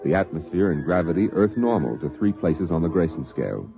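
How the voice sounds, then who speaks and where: Deep-voiced man narrating vintage radio drama. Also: lively intonation, fairly quick, gravelly. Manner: official, documentary-like. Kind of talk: formal scripted 1950s radio drama narration. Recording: dull vintage 1950s radio recording. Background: dramatic music.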